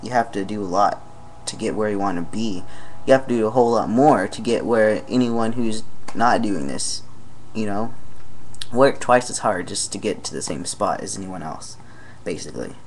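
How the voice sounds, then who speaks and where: A young man with a deep voice, talking casually in a vlog, rambling with many fillers and trailing off into quiet asides. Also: normal speed, slight background noise, rough recording. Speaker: vlogger.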